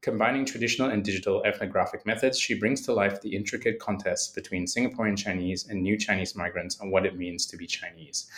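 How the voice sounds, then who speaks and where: A man's voice: deep voice, a bit bright. A man hosting an academic book podcast, reading a polished introduction and asking questions. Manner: polished, reads the introduction smoothly. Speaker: podcast host and history lecturer. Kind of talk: scripted introduction then interview questions.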